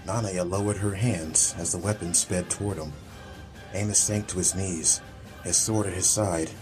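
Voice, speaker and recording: A man's voice: sits low, steady tone, normal speed, a little mellow, soft background music. A man reading a scripted, dramatic story as an audiobook narrator.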